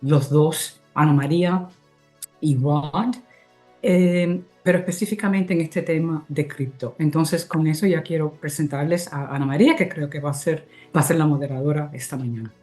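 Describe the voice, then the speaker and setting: A woman from Cuba who speaks Spanish as a second language, hosting a webinar with halting, semi-formal welcome remarks and searching for words. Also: low-pitched, warm tone, faint music behind. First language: not Spanish (Spanish is a second language). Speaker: host introducing a webinar.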